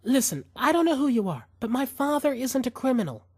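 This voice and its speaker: A man's voice: extremely high pitch, sing-song, brisk, darkish tone, breathy edge, dry recording, clean studio sound, pitched above the performer's own voice, heavily acted. A man voicing a frightened young mother who pleads urgently with a gunman in an audiobook thriller. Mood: scared pleading.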